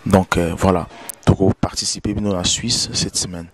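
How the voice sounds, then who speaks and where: A man with a Congolese accent presents an informal news programme. His voice sits fairly low, and his delivery is halting, often circling back to repeat words. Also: little pitch movement, breathy edge. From Democratic Republic of the Congo. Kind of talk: informal news presenting, mixing French and Lingala.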